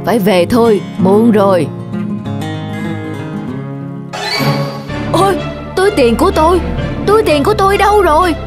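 Cartoon folk tale character, high-pitched, over clear music. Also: female voice, very animated, brisk. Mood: distressed. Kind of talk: animated folk tale dubbing.

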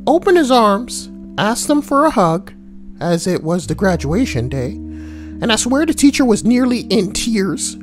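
Woman reading posts out loud, swears n jokes a lot, voice jumps around, guitar music behind. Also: medium pitch, sing-song, unhurried. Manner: crude, sweary, joking asides. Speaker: online narrator and commentator. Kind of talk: reading forum posts aloud with crude comic commentary.

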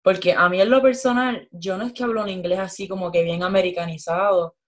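A woman's voice: medium pitch, fairly even intonation, a little fast. A young Puerto Rican woman, a guest on a video call, chatting casually with many fillers, heard through a laptop mic at home. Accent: Puerto Rican Spanish. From Puerto Rico. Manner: chatty, lots of "como que". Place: room at home. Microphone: laptop webcam on video call.

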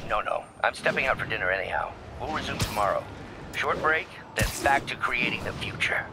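An actor playing a kindly, optimistic older scientist in a video game, measured and reassuring. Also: male voice, medium pitch, expressive, lightly acted. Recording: phone call over noisy city.

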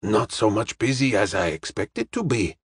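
Man acting a slow, deliberate detective. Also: mid-range voice, expressive, breathy edge, no echo, clean studio sound. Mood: calm, assured. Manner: slow, deliberate, formally polite, drops articles. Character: courteous, serene Chinese detective, clipped deliberate speech.

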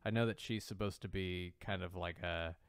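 A man co-hosting a casual podcast chat about comics and animation, haltingly repeating words as he thinks aloud. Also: sits low, steady tone, clean tone, no room sound. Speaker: podcast co-host.

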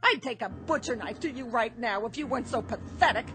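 Brief: a scornful middle-aged wife, higher voice that swoops and jabs, soft music under. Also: female voice, lively intonation, a little fast. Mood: furious. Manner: sarcastic, scornful, biting. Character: bitter sharp-tongued middle-aged wife Phyllis. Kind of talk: radio drama acting.

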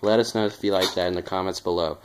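A teenage boy hosting a home video, teasing his friend with a deep voice and frequent laughter. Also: fairly even intonation, fast talker, background noise. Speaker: channel host. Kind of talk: casual home video chat. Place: dim home room. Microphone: handheld camera close up.

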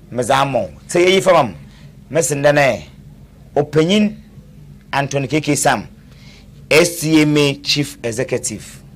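Guy announcer doing a poetic death notice, real slow, mid-pitched voice, big rises then near whispers. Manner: declamatory, with sudden swells and hushed drops. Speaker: broadcast announcer.

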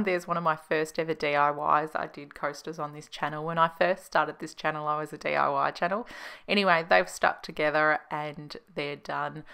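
A woman's voice: low-pitched, creaky, pushed and bright, smooth. A woman in her thirties with an Australian accent, narrating a casual cleaning vlog in a chatty, explanatory way. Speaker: home organisation vlogger.

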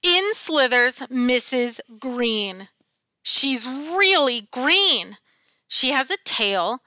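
A middle-aged woman teacher reading a picture book aloud in an expressive, dramatic, deliberate storytelling style, close on a headset mic. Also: high voice, dry recording. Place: small classroom, indoors.

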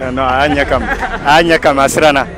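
A man's voice: mid-range voice, steady tone, fast, a crowd in the background. A young man hosting a casual street game outdoors, repeating phrases for emphasis. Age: young adult. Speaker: street interview host. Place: outdoors in urban courtyards and streets. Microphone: small handheld lapel mic. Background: music.

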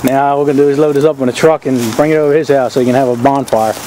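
A man's voice: mid-range voice, steady tone, racing, low-quality recording. A man filming friends in a backyard, giving a joking, teasing running commentary from behind the camera. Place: outdoor backyard with chainsaws running. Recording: loud chainsaw noise outdoors.

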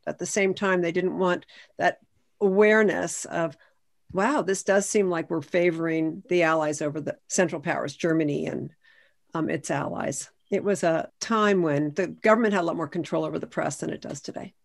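A woman's voice: on the low side, fairly even intonation, normal speed, gravelly. A woman speaking as a historian on a video call panel, explaining things with emphasis.

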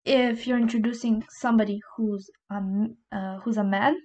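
A young woman, a native Slovene speaker, slowly and patiently teaching a language lesson over a screen recording, repeating phrases and checking in with "okay?". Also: on the high side, fairly even intonation, darkish tone, muffled recording. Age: young adult.

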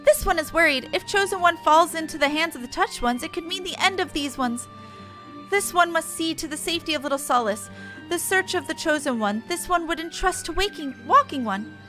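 A woman's voice: extremely high pitch, lively intonation, fairly quick, sharp tone, soft background music, pitched above the performer's own voice, full character voice. A woman voicing a small, earnest, worried forest sylph elder from a fantasy game, speaking in a quaint third-person manner. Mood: worried, pleading.

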